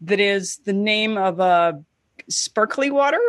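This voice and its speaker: A woman co-hosting a podcast in casual chat, lively and animated, with a slightly scratchy throat. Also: mid-range voice, takes it slowly, no echo, studio quality. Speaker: podcast co-host.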